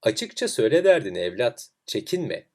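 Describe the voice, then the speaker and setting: A man voicing a kindly old sage in a fairy tale, compassionate, measured and sure of himself. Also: mid-range voice, very animated. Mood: tender. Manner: soft, compassionate, assured, measured. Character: kindly, confident old male sage with grizzled beard.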